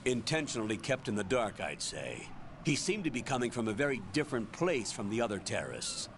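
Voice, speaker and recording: Gravelly, authoritative colonel. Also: male voice, mid-range voice, very animated, background noise. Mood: serious, commanding. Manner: measured, authoritative. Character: stern male colonel briefing his agent. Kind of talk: video game radio briefing acting.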